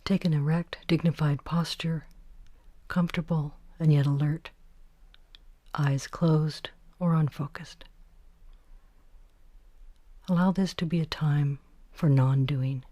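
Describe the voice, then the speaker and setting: A woman guiding a meditation, giving gentle, unhurried instructions in short phrases with pauses between them. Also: sits low, steady tone, no room sound. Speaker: meditation guide. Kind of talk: guided meditation narration.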